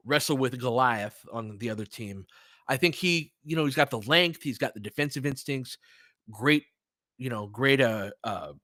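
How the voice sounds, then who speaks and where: Guy doing casual sports stream commentary, bright punchy voice, dry close sound, slangy, thinking out loud. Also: medium pitch, no room sound. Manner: conversational, informal slang, thinking aloud. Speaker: sports podcast host. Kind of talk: casual live basketball commentary, replying to chat.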